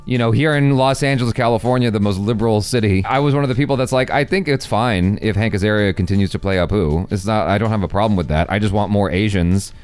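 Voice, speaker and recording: A man's voice: fairly low pitch, fast, few pauses, a little mellow, smooth, faint music behind. A man co-hosting a reaction video, talking casually in a rambling, conversational way and often qualifying his opinions.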